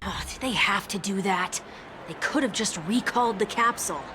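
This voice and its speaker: A woman's voice: medium pitch, normal speed, close to an everyday voice. An actress playing a blunt, sarcastic young woman in a video game, with a wry, casual, grumbling delivery. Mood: annoyed. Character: blunt, sarcastic young female travel companion. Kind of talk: English video game voice acting. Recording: loud background music. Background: game music.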